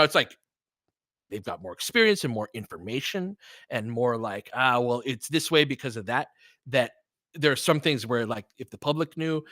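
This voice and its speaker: Casting: American male sports stream host, medium pitch, unscripted, blunt and conversational, very dry room sound. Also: fairly bright tone, no room sound. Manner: candid and opinionated, swears freely. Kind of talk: casual, unscripted sports commentary chatting with listeners.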